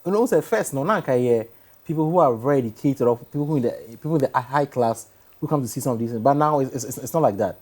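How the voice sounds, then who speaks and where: Fast-talking Ghanaian man being interviewed. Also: medium pitch, lively intonation, darkish tone, breathy edge. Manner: explains point by point, drops in Twi words. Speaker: stage play producer, interview guest. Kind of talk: explanatory interview talk.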